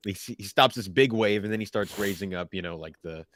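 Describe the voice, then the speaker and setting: A man co-hosting a casual chat, laying out his opinions in a halting, think-aloud way. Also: fairly low pitch, a bit bright. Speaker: co-host of a pop culture discussion show.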